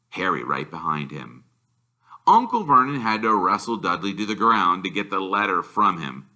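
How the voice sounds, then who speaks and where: A man reading a children's novel aloud as narrator, expressive and deliberate in his storytelling. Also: fairly low pitch. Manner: animated, deliberate. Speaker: reader, storyteller.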